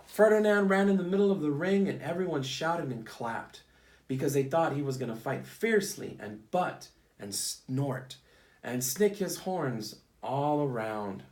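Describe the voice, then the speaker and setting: A man's voice: mid-range voice, expressive, measured pace, a little mellow. A man reading a picture book aloud to children in simple, teacherly phrasing, prompting listeners as he goes. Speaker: read-aloud storyteller for children. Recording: echoey, roomy sound.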